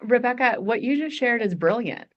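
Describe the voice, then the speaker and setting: A woman's voice: fairly high pitch, lively intonation, moderate pace, muffled recording. A woman in her forties leading a goal-setting workshop, chatty and upbeat, laughing often. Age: 40s. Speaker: workshop facilitator.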